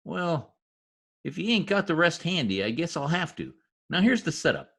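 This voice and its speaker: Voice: medium pitch, very animated, normal speed, fairly bright tone. A performer in a 1940s-style radio comedy-mystery voicing a shady, wisecracking informant with slangy, ungrammatical talk. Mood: businesslike, cagey. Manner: streetwise slangy talk with bad grammar. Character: shady, wisecracking lowlife gangland informant nicknamed Piggy. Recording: husky-sounding home recording over video call.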